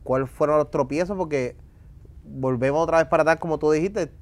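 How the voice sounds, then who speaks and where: A male interview host with a Puerto Rican accent chats informally with his guest. His voice is a little higher than most men's, and he talks in loose, street-level slang. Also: fairly quick. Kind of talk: casual slangy chat.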